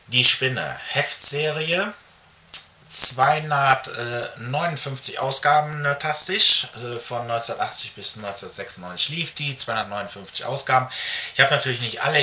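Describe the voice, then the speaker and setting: A middle-aged man talking casually to camera as a comic collector, halting often with filled pauses, recorded in a room at home. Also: on the low side, bright, forceful tone. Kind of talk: casual show-and-tell to camera. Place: small furnished room at home, indoors. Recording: faint background noise.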